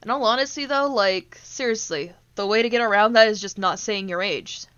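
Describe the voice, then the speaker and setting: A woman's voice: mid-range voice, brisk, a bit bright, smooth, no room sound, highs cut off. A woman in her twenties ranting casually on a gaming stream, blunt, exasperated and sweary as she tells stories. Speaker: streamer, VTuber host.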